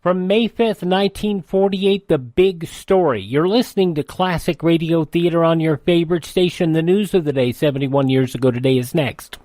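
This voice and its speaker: A man's voice: on the high side, normal speed, few pauses, a little mellow. A man hosting on radio in a conversational, folksy way. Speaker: radio host. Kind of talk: folksy host-read radio promotion.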